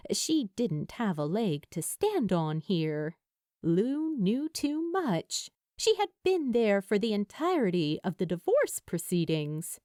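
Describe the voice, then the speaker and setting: A woman reading a story aloud as a narrator, in an expressive scripted audiobook style. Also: medium pitch, no room sound, studio quality. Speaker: fan fiction narrator.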